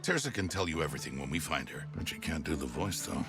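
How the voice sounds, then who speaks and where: An actor playing a fatherly older mentor and storyteller in an animated game, dry and playfully dramatic. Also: extremely low pitch, quick pace, over loud music. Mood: teasing drama. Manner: dry, theatrical. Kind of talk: animated game dialogue and narration.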